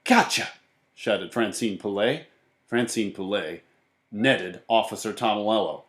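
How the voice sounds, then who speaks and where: A man narrating a children's book as an animated storybook reader. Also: on the low side, measured pace. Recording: roomy, echoey sound.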